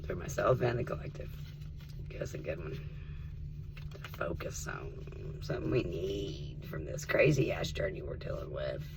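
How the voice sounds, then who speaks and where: A man from Tennessee, his voice rough and in need of rest, talking casually to his viewers and often dropping to an earnest whisper. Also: low-pitched, big pitch swings, normal speed, long pauses, noisy background. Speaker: vlogger. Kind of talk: casual spiritual vlog and storytime.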